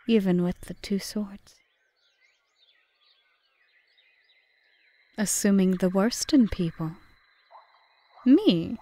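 A woman playing a composed, aggrieved witch in an ASMR roleplay, speaking formally and measuredly in a breathy half-whisper. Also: mid-range voice, mellow. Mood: dry, curious, then mocking, bitter. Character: cool, bargaining yandere witch, aggrieved yet composed. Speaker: audio roleplay performer.

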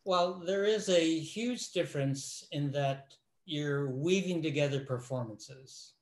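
Older man, film editor, slow and measured, slightly high voice, roomy webcam sound. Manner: measured, thoughtful. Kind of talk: reflective Q&A answer. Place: living room at home. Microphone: laptop webcam on a video call. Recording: roomy video call audio.

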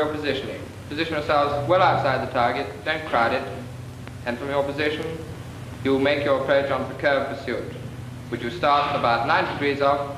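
A man's voice: medium pitch, lightly acted. A man playing a businesslike wartime gunnery instructor, giving clipped, methodical instructions in a 1940s training film. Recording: echoey, very noisy 1940s film soundtrack.

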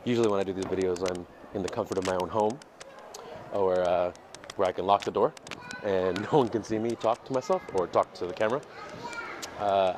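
Man vlogging while walking down a city street. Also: deep voice. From United States. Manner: reflective, candid, with frequent hesitations. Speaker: dance teacher and vlogger. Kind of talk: casual walking vlog monologue. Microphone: earphone headset mic, phone at arm's length. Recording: noisy outdoor street recording. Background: street ambience and passing vehicles.

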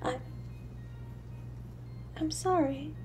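A woman voicing a frail, frightened little street girl in an audio drama, timid, halting, shivering and tearful. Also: high voice, a little mellow, soft background music. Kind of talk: dramatic audio story monologue.

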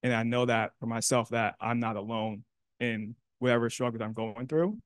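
A young man speaking earnestly and in a measured way as a panelist in a university classroom. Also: medium pitch, steady tone, clear, resonant tone, no room sound. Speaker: panelist, alumnus. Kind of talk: panel discussion.

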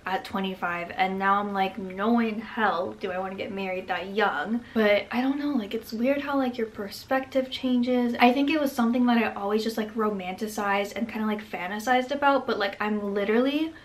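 A woman's voice: medium pitch, a little fast, few pauses. Chatty woman in her twenties, rambling. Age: 20s. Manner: rambling, many "like" fillers, frequent laughs. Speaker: vlogger. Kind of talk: casual reflective talk to camera. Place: bedroom, indoors.

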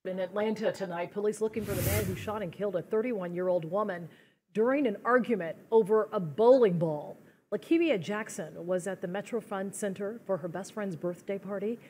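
A woman anchoring the evening news in a TV studio, crisp and even. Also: mid-range voice, brisk.

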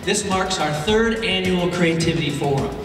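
Man, formal host welcome, higher voice, distant mic, music behind. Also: normal speed. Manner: formal, scripted. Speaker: forum host. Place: large convention hall stage. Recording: echoey hall heard from far off.

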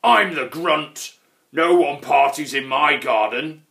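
A man's voice: on the high side, lively intonation, slow, heavily acted. A man voicing a grumpy, horrid storybook troll who growls, snarls and bellows. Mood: snarling, bellowing. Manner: growling, snarling, shouted delivery.

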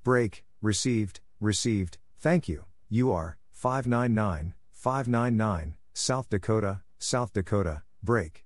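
Guy w/ slightly deep voice reading callouts for code practice, neutral and scripted, super dry sound. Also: takes it slowly, few pauses, no room sound. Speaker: narrator of Morse code practice drills. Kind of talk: scripted read-out of letters, numbers and short phrases.

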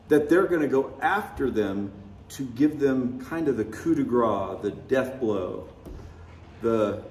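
A man's voice: medium pitch, a little mellow, echoey room, far from the mic, a little hiss or hum. A man preaching a sermon, conversational and wry, often chuckling at his own remarks. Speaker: pastor. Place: church sanctuary.

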